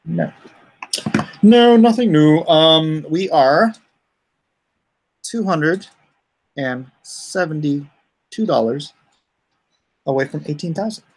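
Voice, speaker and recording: Casual male streamer with a creaky voice. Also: a little high, lively intonation, takes it slowly, long pauses. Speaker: comic artist and livestream host. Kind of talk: casual livestream chat.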